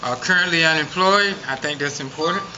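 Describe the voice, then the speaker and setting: A man who organizes and campaigns introduces himself, pausing often on fillers. His voice is a bit higher than most men's. The capture is dull and hissy. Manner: measured, halting. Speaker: activist and organizer. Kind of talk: self-introduction. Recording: dull, noisy, from far.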